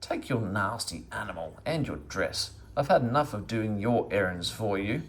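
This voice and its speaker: A man's voice: on the low side, animated, moderate pace, lightly acted. A man voicing a flustered, grumpy bumbler who protests and scolds in a children's storybook. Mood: bad-tempered.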